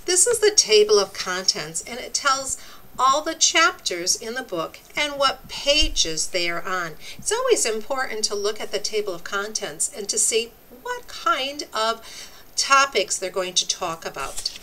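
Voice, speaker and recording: Animated, high-voiced woman reading to children. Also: slight background noise, low-quality recording. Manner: deliberate, expressive, teacherly phrasing. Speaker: reader of a children's nonfiction book. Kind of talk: read-aloud for young children with explanatory asides.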